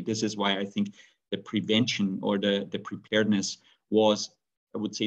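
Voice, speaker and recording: A man in his forties from Austria, a native German speaker, speaking on a webinar video call with many restarts and fillers. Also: lowish voice. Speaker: guest speaker. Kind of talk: webinar talk. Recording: dull lo-fi video call audio.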